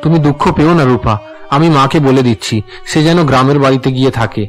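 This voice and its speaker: Young husband, voiced by a man, cartoon drama, mid register, gentle and compliant. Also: mid-range voice, fairly quick, faint music behind, close to an everyday voice. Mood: reassuring.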